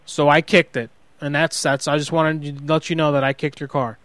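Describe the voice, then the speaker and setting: Man acting as halting, hot-headed husband with clear voice. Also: fairly high pitch, flat delivery, fairly bright tone, no room sound, close to an everyday voice. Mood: irritated, defensive. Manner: halting, rambling, self-justifying. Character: hot-headed, sheepish husband confessing to damaging a car. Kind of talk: improvised prank phone call.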